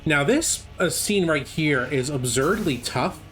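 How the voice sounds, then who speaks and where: Halting male gamer commentary over music. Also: fairly high pitch, expressive, fairly bright tone. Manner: halting, thinking aloud while playing. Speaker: speedrunner commentator. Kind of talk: live gameplay commentary, casual. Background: game music.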